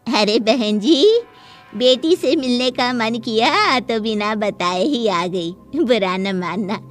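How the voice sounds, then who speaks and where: A woman's voice: on the high side, expressive, slow pace, soft background music. A woman voicing a bride's visiting mother, breezy and pointed, in a cartoon family drama. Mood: breezy, teasing.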